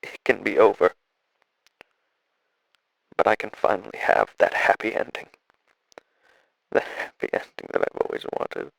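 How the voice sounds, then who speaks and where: Guy doing a dying scientist's audio log, slow and halting, dry close sound, full of regret. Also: lowish voice, big pitch swings, creaky, a bit bright, no room sound, pitched below the performer's own voice, full character voice, rough recording. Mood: grieving, regretful. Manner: halting, solemn, weary delivery with trailing phrases. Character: dying male scientist, regretful, desperate, recording audio logs. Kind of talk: audio drama acting, spoken log.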